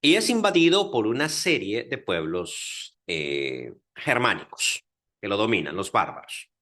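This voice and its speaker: A man with a Guatemalan accent gives a classroom lecture on scripture history. His pitch leaps and falls with lively emphasis, and he keeps checking that his listeners follow. His talk is full of fillers and restarts. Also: a little slow. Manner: animated, with many fillers and rhetorical check-ins. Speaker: Bible teacher lecturing. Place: Dominican Republic, class audience.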